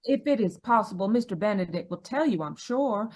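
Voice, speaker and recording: Gentle polite ghost wife lady acting it, medium pitch, calming, old radio mystery. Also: brisk, lightly acted. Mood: soothing. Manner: soothing, polite. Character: gentle, reassuring dead wife awaiting the afterlife.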